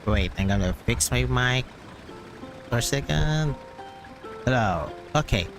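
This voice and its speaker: A Filipino-accented man streams a game in a casual, mid-pitched voice. He talks slowly, thinking aloud in short murmurs, with game music clearly behind him. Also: lively intonation. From Philippines. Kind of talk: casual livestream game reaction, mixing Tagalog and English.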